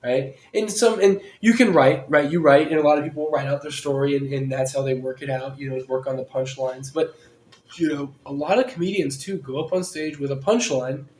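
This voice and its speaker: A man hosting a podcast in casual chat, rambling and joking with bursts of loud laughter. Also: a little high, a little fast. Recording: roomy room with faint ambience.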